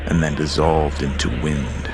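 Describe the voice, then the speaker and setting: A man narrating a scripted horror story in a measured, ominous way. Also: very deep voice, flat delivery. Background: creepy music.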